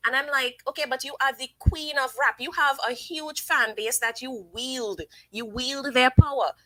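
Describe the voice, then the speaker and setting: A woman hosting a casual live stream, giving her opinions and reading viewers' comments aloud in a chatty, thinking-out-loud way. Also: sits high, fairly even intonation, moderate pace, no room sound, rough recording. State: sniffly from sinus trouble. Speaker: livestream host.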